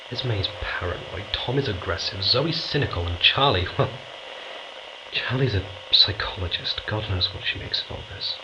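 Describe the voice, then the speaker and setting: A man playing an anxious, brooding astronaut on a remote moon base in an audio drama, speaking haltingly with breathy urgency. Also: fairly low pitch, lo-fi sound, lightly acted. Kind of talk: audio drama acting, confiding recorded log. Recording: clear background noise.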